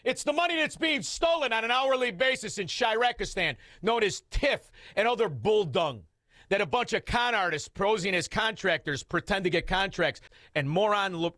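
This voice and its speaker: A man, hosting talk radio in a combative, mocking rant with shouted outbursts. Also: high-pitched, no room sound, clean studio sound. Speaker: radio talk show host.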